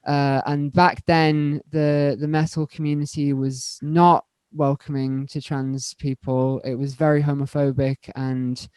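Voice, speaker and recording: A young woman speaking as a panel guest, candid and stumbling, repeating words as she rushes ahead. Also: deep voice, flat delivery, darkish tone, clean tone, no room sound. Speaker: panel guest, electronic musician. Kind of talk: panel discussion.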